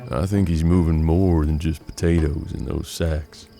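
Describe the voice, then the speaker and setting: A man acts as a seasoned western detective and mentor. His voice is low and gravelly with a dark, mellow tone, and he speaks in a measured, folksy, world-weary way over faint music. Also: steady tone. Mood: grave, watchful. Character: seasoned, gruff frontier detective and bounty hunter, mentor. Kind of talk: western audio drama acting.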